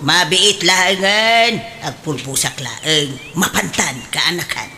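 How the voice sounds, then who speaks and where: Woman playing a bright-voiced, gossipy Filipino village aunt. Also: fairly low pitch, takes it slowly, slight background noise, heavily acted. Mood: unhurried, chatty. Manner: expressive, exclamatory. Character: gossipy, scolding, pious village aunt in a comedy. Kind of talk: comedic radio drama acting.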